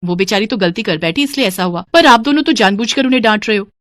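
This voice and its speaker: A woman's voice: mid-range voice, fast talker, no echo, clean studio sound, natural voice. A woman voicing a dutiful young daughter-in-law in a cartoon moral story, respectful and pleading. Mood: protective.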